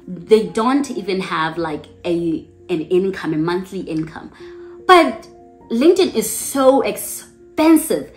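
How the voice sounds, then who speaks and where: Low-voiced South African woman vlogging. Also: animated, slow. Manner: earnest, candid. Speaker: English teacher and vlogger. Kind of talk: casual talk to camera. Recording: echoey room with faint music.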